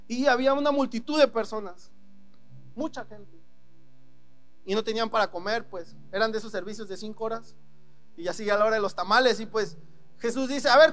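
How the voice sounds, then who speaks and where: High-voiced Mexican preacher, music behind. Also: male voice, a bit bright. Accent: Mexican Spanish. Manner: conversational preaching with dramatic quoted prayers. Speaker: pastor. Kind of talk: sermon.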